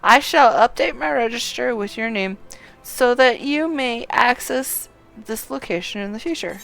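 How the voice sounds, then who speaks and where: A courteous stable porter from a fantasy video game, played by a woman. The voice is smooth and clear, speaking with formal, welcoming politeness to customers. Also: fairly high pitch, expressive, unhurried, a bit bright, soft background music, full character voice, low-quality recording. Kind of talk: video game character dialogue read aloud.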